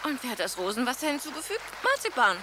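An actress playing a spirited young woman, the tsar's daughter, who is quick to argue in a radio drama. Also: sits high, very animated, noisy background. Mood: teasing.

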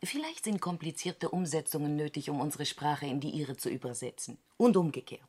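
An actress playing a quick-thinking, questioning moon station crew member in a science fiction radio drama, analytical and excitable. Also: female voice, low-pitched, breathy edge. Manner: analytical, exasperated at times.

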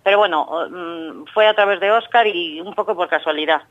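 A woman's voice: on the low side, normal speed. A middle-aged Spanish woman from Castile, a painter talking about her work in a radio interview, hesitant with many fillers and restarts. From Spain, Castile. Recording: muffled phone line.

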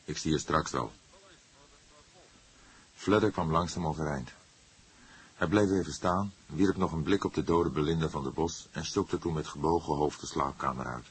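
A man with a very low, strongly husky voice reads crime fiction aloud. His delivery is measured and dramatic, as an audiobook narrator telling a story. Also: fairly even intonation. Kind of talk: scripted crime novel narration.

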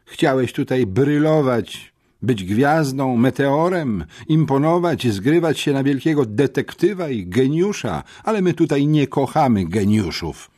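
A man's voice: medium pitch, expressive, unhurried, a little mellow. A man voicing a stern, domineering school headmistress in an audiobook, scolding with mocking sarcasm.

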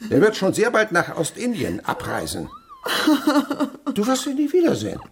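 An actor playing a stern, miserly, middle-aged head of a household in a German radio drama, curt and scornful. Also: male voice, high voice, wildly swooping pitch, moderate pace, slight background noise, heavily acted. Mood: stern, commanding.

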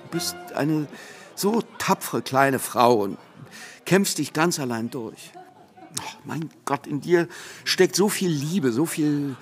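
A man's voice: on the high side, animated, takes it slowly, husky, soft background music, exaggerated voice. A man playing a smitten society lawyer in a German radio play, speaking hesitantly and ardently. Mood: ardent pleading. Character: smitten, smooth-talking male society lawyer.

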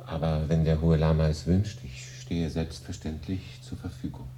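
Reserved male consul, very low dark voice, faint noise, polite, composed, radio play. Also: flat delivery, normal speed. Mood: surprised, respectful. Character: courteous, reserved English consul. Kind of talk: radio drama acting.

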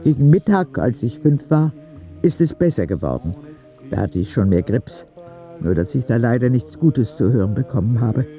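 A man's voice: mid-range voice, big pitch swings, moderate pace, warm tone, muffled recording, faint music behind. A man narrating an audio drama as a candid, vulnerable ten-year-old sick boy who confides in a naive, plain way. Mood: confiding, earnest.

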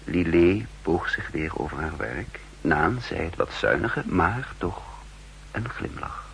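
An actor playing a self-assured spy who recounts his undercover life in measured, formal 1960s radio drama narration. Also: low voice, animated, vocal fry, a bit bright, heavily acted, rough recording. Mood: dry, observant. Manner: measured, formal, literary storytelling. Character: self-assured male spy posing as a counterintelligence chief, recounting his story. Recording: 1960s radio recording with hum and noise.

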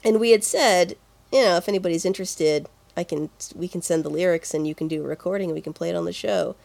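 A woman's voice: lowish voice, quick pace, vocal fry, darkish tone. A woman co-hosting a podcast, chatting casually and informally about video game music. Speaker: podcast co-host.

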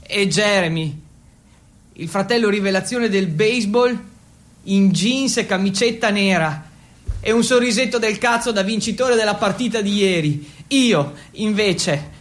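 A man's voice: high voice, fairly bright tone. An actor playing a reckless teenage boy, telling his story as a theatrical first-person radio monologue in short, repeated, breathless phrases. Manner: breathless, repetitive, builds intensity in short phrases. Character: reckless teenage exchange boy recounting his wild nights. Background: music.

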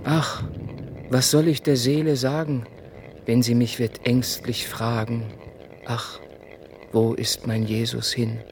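A man's voice: medium pitch, little pitch movement, takes it slowly, gravelly, long pauses. A male actor playing an anguished believer in a radio passion play, lamenting in verse. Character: anguished believer searching for the lost Jesus. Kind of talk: poetic radio drama recitation. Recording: noisy ambience behind the voice.

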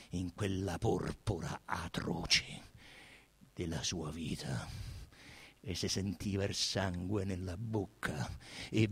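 Slow, gravelly Roman man reading theatrically. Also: fairly low pitch. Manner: intense, theatrical, swinging from hushed murmurs to loud declamation. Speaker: actor reading a literary text. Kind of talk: theatrical dramatic reading of a novel.

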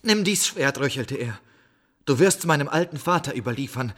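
A man playing a poor soldier bearing grim news in a radio drama, husky, grave and declamatory. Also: mid-range voice, lively intonation, normal speed, fairly bright tone. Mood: dramatic recounting. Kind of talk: theatrical radio drama storytelling.

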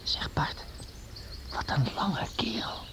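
An actor playing a watchful, bossy young adventurer in a radio drama, with a wary, conspiratorial way of talking. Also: male voice, slow pace, whispered. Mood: hushed, watchful. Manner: conspiratorial, hushed, giving short orders.

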